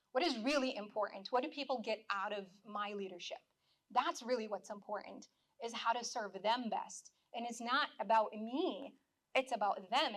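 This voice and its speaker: A woman's voice: fairly high pitch, fairly bright tone. A woman speaking on a live panel, earnest and reflective, her mouth dry and her voice a little worn. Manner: earnest, reflective, many restarts. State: dry mouth, slightly losing voice.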